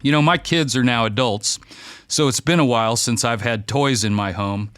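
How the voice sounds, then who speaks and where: A man's voice: mid-range voice, moderate pace, fairly bright tone, smooth, dry recording, faint music behind. A man in his fifties hosting a podcast, reading a polished intro and then chatting with his guest in a friendly way. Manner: friendly, polished host delivery. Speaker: podcast host. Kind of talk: scripted intro, then interview chat.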